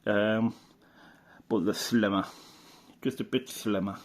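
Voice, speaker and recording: A middle-aged man from the UK, a radio hobbyist chatting casually through an old catalogue, rambling and unhurried with lots of fillers and restarts. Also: fairly low pitch, fairly even intonation. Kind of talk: casual reminiscing commentary.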